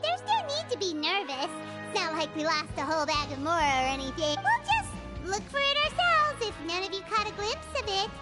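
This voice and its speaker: An excitable tiny fairy companion speaks in a very high, piping voice that leaps up and down. She keeps referring to herself in the third person. Bright game music plays loudly underneath. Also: fairly quick, hardly any pauses, exaggerated voice. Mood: coaxing. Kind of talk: video game voice acting.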